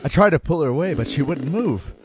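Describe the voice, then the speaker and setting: A man's voice: a little high, animated, slight background noise, lightly acted. A man playing a frightened husband and father, recounting a haunting in grave, dramatic first-person horror narration. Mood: panicked urgency. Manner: grave, dramatic, deliberate phrasing. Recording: loud music under voice.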